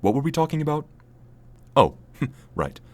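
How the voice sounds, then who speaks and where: An actor playing a droll, tongue-in-cheek storyteller, narrating a comic audio play in a dramatic style. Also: male voice, on the high side, very animated, unhurried. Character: droll, mock-epic male storyteller.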